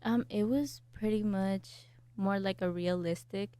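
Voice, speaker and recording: A young woman from El Salvador, a graphic design student, answering interview questions in a soft, hesitant way with many fillers. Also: medium pitch, measured pace, no echo.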